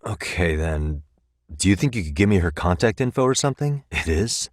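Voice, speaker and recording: A man acting a determined, streetwise private detective in a video game, with an American accent and a measured, probing, bluntly persuasive way of talking. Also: low voice, animated, dry recording, clean studio sound. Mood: polite pressing.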